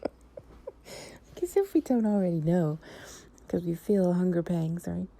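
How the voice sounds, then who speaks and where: Slow, dark-toned woman, soft and whispery. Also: on the low side, lively intonation. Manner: soft, breathy, often whispering; affectionate, soothing, unhurried. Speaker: audio roleplay performer playing an affectionate girlfriend. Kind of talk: intimate improvised ASMR roleplay.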